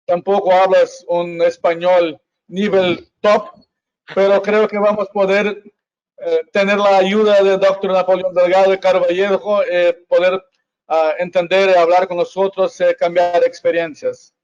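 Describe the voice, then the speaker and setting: A Brazilian physician whose first language is Portuguese speaks hesitant Spanish with many fillers on a video call. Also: male voice, sits high, monotone, a little slow, a little mellow, smooth. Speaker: physician panelist. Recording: dull lo-fi video call audio.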